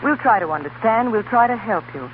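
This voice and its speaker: An actress playing an earnest, patient but strict young headmistress in a 1930s radio drama. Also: female voice, fairly high pitch, expressive, a little fast, natural voice. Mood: stern, pleading. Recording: tinny 1930s radio broadcast, faint hiss.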